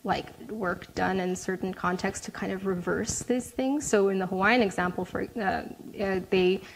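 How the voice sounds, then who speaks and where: A woman answers audience questions in a lecture room at a mid pitch. She talks loosely, often restarting and filling pauses with ums and likes. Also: steady tone, creaky, slight background noise, poor audio. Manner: hesitant, many fillers and restarts. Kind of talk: casual Q&A at a talk.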